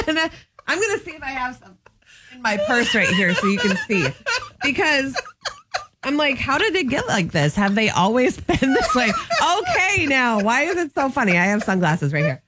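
A woman's voice: high-pitched, normal speed, heavy background noise. A young woman co-hosting a morning radio show, chatting casually and telling stories with a laugh. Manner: animated, laughing storyteller. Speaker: radio co-host.

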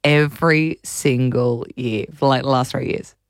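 A hoarse young Australian woman chats on breakfast radio, rambling and laughing through the talk. Her raspy voice sits close and dry in the studio. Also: rumbling low, steady tone, measured pace, dry recording. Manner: chatty, rambling, laughs often. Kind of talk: casual breakfast radio banter.

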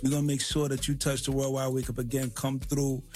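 A male show host talks in a relaxed, slangy way and cheers his guest on. His voice sits at an ordinary pitch, with faint music behind him. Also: a little fast. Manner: laid-back, slangy, encouraging. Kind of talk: casual interview chat.

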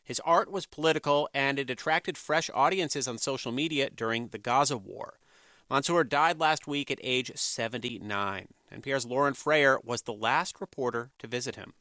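A man's voice: mid-range voice, normal speed, bright, forceful tone, no room sound, clean studio sound. A man with an American accent reads a scripted news introduction as a radio host.

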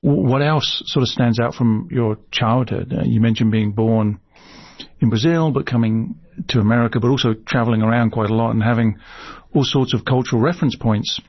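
A man interviewing a poet with measured, courteous questions. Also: medium pitch, dry recording. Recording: dull, dry lo-fi sound.

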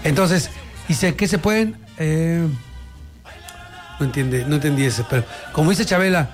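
A man's voice: a little high, a little slow, faint music behind. A man with a Mexican accent hosting a radio talk show, chatting casually about the news with co-hosts.

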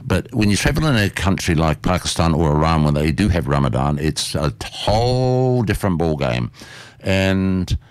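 A man's voice: sits low, very animated, normal speed, dry recording. A man, hosting a casual chat and rambling through stories with asides. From New Zealand. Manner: rambling, chatty, full of asides. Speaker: host.

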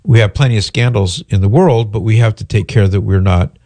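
Nasal low-voiced male radio host. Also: mellow, no echo. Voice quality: slightly nasal. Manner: measured, explanatory, with frequent ums and restarts. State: head cold. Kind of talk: call-in radio answering listener questions. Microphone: studio microphone.

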